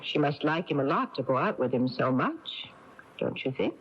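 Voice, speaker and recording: A woman's voice: sits low, very animated, moderate pace, slightly rough, full character voice. An actress playing a nagging middle-aged mother in a radio drama, with a pointed, insinuating manner. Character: nagging, needling mother, long-suffering wife. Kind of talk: 1980s radio drama acting. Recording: dull, noisy taped AM radio broadcast.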